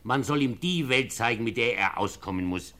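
A man's voice: medium pitch, animated, moderate pace, a bit bright, lightly acted. A male actor playing a blunt, earnest guardian in a radio drama, firm and plain-spoken. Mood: stern, insistent.

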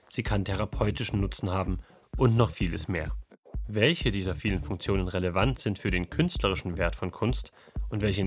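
A man's voice: lowish voice, slight background noise. A man reading a scripted, explanatory radio feature.

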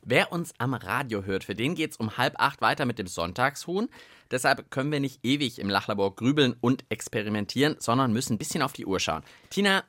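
Playful male co-host, expressive pitch. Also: a little fast, dry recording, clean studio sound. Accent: German. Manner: playful, self-mocking. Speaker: radio co-host. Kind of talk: lively children's radio chat. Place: radio studio.

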